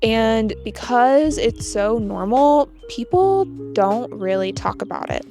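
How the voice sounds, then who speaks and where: American woman with light vocal fry. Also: on the high side, lively intonation, over music. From United States. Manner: measured, explanatory. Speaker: channel host. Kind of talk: scripted talk to camera.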